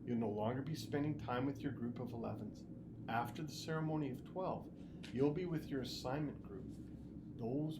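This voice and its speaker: A storybook reader playing a caring, practical mother who gives measured, earnest advice to her child. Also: medium pitch, background noise, close to an everyday voice.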